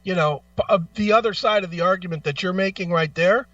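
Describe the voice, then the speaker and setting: A man's voice: high voice, fast talker, a bit bright, no room sound, recorded from a distance, highs cut off. A man co-hosting a casual radio talk show, arguing his opinions bluntly and with plenty of swearing. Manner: blunt, profane, argumentative.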